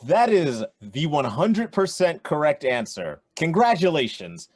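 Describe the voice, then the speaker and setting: An actor playing a jovial quiz show host in a radio play, with theatrical announcer delivery. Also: male voice, fairly high pitch, sing-song, a little slow, darkish tone, husky, dry recording, studio quality, exaggerated voice. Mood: congratulatory. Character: young man hosting a quiz show, jovial showman. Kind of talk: radio play acting, game show emcee patter.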